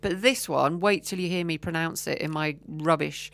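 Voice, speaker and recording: A woman's voice: deep voice, normal speed, creaky, a bit bright, dry recording. A woman co-hosting a podcast in casual chat, often trailing off with ums and restarts. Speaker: podcast co-host.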